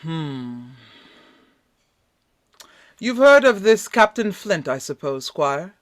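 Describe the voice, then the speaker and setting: A man playing a shrewd, courteous gentleman doctor, formal and deliberate, in a radio play reading. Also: on the high side, very animated, no room sound, clean studio sound, exaggerated voice. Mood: shrewd, curious.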